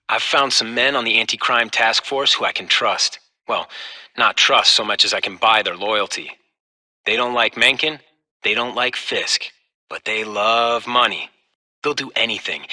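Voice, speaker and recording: A man playing an unhinged, paranoid, vengeful villain with a husky voice, delivering a video game monologue. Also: medium pitch, sharp tone, full character voice. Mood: scheming.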